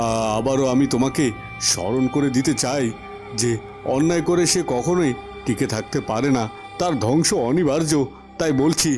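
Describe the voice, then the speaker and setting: A man's voice: mid-range voice, normal speed, close to an everyday voice. A man playing a righteous captive king in a cartoon, giving solemn, preachy warnings. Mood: admonishing. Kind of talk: Bengali cartoon voice acting. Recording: faint music behind. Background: faint background music.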